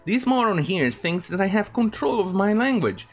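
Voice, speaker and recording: A man's voice: high voice, big pitch swings, normal speed, soft background music. A man in his thirties, not a native English speaker, hosting an indignant, rambling commentary video from home. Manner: indignant, rambling, many fillers. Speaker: commentary channel host. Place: home room with bookshelves.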